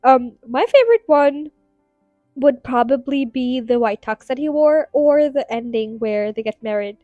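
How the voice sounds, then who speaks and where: Casting: higher-voiced woman, rambling podcast host, giggly, voice tiring, dry close mic. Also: lively intonation, measured pace, no room sound, narrow, tinny audio, faint music behind. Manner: chatty, giggly, rambling with fillers. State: tired, strained voice. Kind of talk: casual solo podcast ramble.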